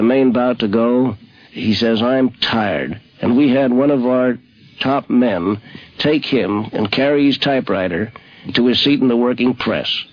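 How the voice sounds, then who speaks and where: A man's voice: medium pitch, no echo. A man recalling a colleague in a plain spoken anecdote on a 1950s radio program. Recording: dry, dull 1950s radio recording.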